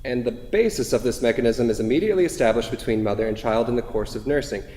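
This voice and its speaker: An actor playing an earnest, long-winded, pedantic intellectual lover, hedging in academic phrases in a staged play reading. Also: male voice, mid-range voice, quick pace, dark tone, close to an everyday voice. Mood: pedantic, defensive. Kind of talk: staged play reading before a live audience. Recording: echoey hall, noisy, distant reverb.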